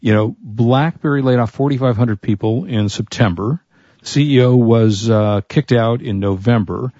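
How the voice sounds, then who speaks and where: A man's voice: medium pitch, moderate pace, warm tone, no room sound, muffled recording. A man co-hosting a casual tech show and losing his voice, chatting through product picks and tech news. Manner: chatty, offers product rundowns and opinions.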